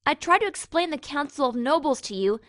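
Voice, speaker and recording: Girl doing a sensible pony sidekick, high voice, tired of explaining stuff, very dry close sound. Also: lively intonation, brisk, fairly bright tone, no room sound. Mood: exasperated. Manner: reasoning, weary, corrective. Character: sensible, exasperated young female pony advisor. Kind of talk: dramatic fan fiction reading.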